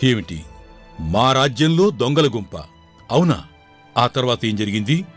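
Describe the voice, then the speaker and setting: A dignified king from a storybook tale. He speaks formally and with authority, questioning and declaiming in a medium-pitched voice, with faint music behind. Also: very animated, a little slow. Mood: concerned. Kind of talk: dramatised Telugu folk tale.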